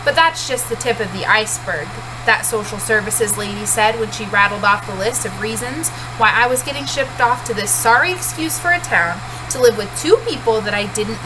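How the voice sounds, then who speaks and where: A woman's voice: fairly high pitch, noisy background. A woman in her thirties, a teacher reading a storybook aloud in first-person narration at home. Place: small cluttered room at home, indoors.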